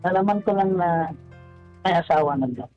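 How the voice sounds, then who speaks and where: A man calling a radio show, telling his personal story in a polite, halting way. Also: high voice, a little mellow. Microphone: telephone. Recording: dull phone-in line, music bed.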